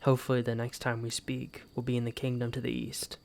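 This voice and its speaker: A man playing an embittered exiled knight in a fantasy audio drama, speaking formally and solemnly with a gravelly voice and dramatic pauses. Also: medium pitch, monotone, darkish tone, lightly acted. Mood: softening, grateful. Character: embittered exiled knight, wounded pride, grieving for his mother. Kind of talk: first-person fantasy roleplay audio drama.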